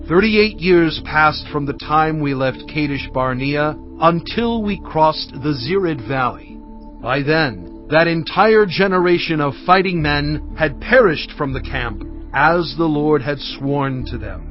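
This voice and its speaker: Elderly prophet-leader, fairly high voice, solemn deliberate storytelling, slightly dull sound over music. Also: male voice. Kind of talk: dramatized scripture narration.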